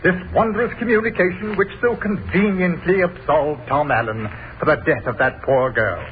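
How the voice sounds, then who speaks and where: An actor playing a scornful Crown prosecutor who hammers the jury with mocking rhetorical questions in a 1930s radio play. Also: male voice, on the high side, moderate pace, lightly acted. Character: theatrical male Crown prosecutor, scornful and grandstanding. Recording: tinny, hissy 1930s radio broadcast.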